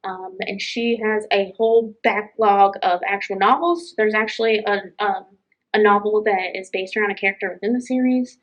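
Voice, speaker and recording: A woman's voice: mid-range voice, steady tone, normal speed, dull audio. She is a woman with a mid-range voice, co-hosting an informal book recommendation chat. Her delivery is conversational and effusive, full of open enthusiasm for what she recommends. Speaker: library podcast co-host.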